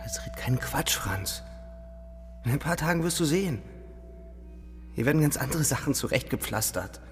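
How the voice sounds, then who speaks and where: A man's voice: medium pitch, animated, normal speed, soft background music, close to an everyday voice. An actor playing a weary, grieving young German soldier narrating his war in a radio drama, subdued in manner. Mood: reassuring, hushed.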